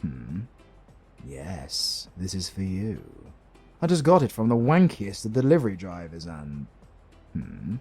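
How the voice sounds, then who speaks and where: Male actor as smug retired supervillain husband: British, low gravelly voice, swooping theatrical delivery, doting and flirty, romantic comedy audio with music behind. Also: wildly swooping pitch, measured pace, a little mellow, exaggerated voice. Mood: playful, sheepish. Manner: theatrical, teasing, cooing endearments.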